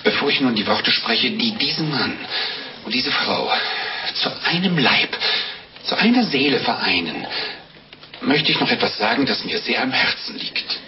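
A long-winded priest given to theatrical sermons. His mid-pitched voice swings widely as he pauses solemnly. The old radio play recording is dull, with clear background noise. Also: big pitch swings. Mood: solemn preaching. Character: preachy, long-winded priest stalling a wedding. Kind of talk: 1950s German radio play acting.

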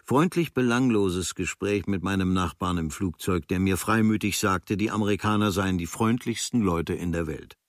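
A man reading a travel diary as scripted literary radio narration, in measured, observational short phrases. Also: deep voice, no room sound, clean studio sound. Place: studio.

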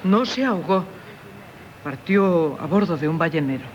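A woman's voice: lowish voice, animated, moderate pace, close to an everyday voice. Radio drama, Castilian Spanish: actress as anguished married woman, grave confessional delivery, faint noise on a dull old recording. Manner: solemn, confessional, theatrical delivery. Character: tormented married woman haunted by a former lover. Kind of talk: 1970s radio theatre acting.

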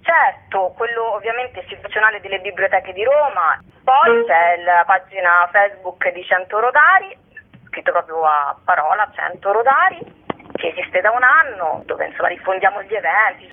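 A woman being interviewed as a project's creator, explaining earnestly in long, wordy sentences. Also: mid-range voice. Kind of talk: spoken radio interview. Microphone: telephone. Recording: narrow, tinny phone line.

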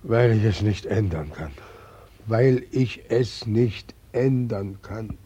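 A man's voice: on the low side, expressive, slow pace, mellow, breathy edge, full character voice. An actor playing God as a helpless old man who weeps and laments plaintively, in a dull 1940s radio drama recording. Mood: grieving, helpless. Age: older. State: crying.

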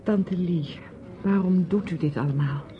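A woman's voice: fairly low pitch, unhurried, natural voice. A woman playing a reserved, dutiful young niece in a radio drama, speaking tersely, politely and with some hesitation. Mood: reticent. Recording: dull old radio recording.

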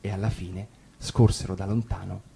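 A man narrating a fairy tale for radio, animated and bantering, sometimes stammering. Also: deep voice, moderate pace, gravelly, background noise. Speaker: actor reading a fairy tale. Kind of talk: acted radio storytelling.

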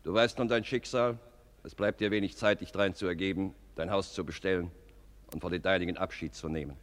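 A stern, cold envoy of the court, played by a male actor in a classic radio drama. The lowish voice is solemn, measured and authoritative, with formal proclaiming diction and long hall reverb. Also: steady tone, close to an everyday voice. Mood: solemn, official. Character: stern, cold official envoy pronouncing a death sentence.

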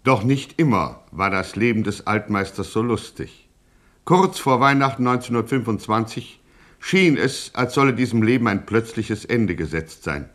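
A man's voice: on the low side, expressive, moderate pace, fairly bright tone. A man narrating a radio crime satire in a scripted mock-epic style, pompous and grandiose.

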